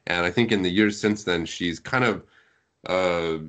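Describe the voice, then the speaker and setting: A man's voice: low voice, unhurried, fairly bright tone. An American man telling anecdotes casually in an informal, rambling way. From United States.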